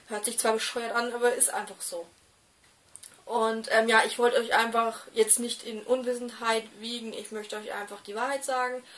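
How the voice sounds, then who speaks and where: A young woman in her twenties talks straight to her webcam in a small room. Her voice sits a little high, and she sounds set back slightly from the mic. She hesitates often, with plenty of fillers and restarts. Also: little pitch movement, moderate pace, a bit bright, distant mic. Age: 20s. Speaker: doll collector vlogger. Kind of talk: casual talk to camera.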